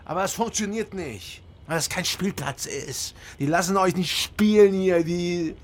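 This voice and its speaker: An actor playing a weary, disillusioned East German investigator in a radio crime drama, with terse, sober phrasing. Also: male voice, sits high, measured pace. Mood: bitter, pleading. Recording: studio drama with music behind.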